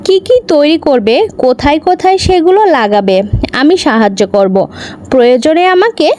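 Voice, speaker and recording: High, lively woman storyteller. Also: normal speed. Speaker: storyteller, channel host. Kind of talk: scripted children's story read aloud. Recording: noticeable background noise.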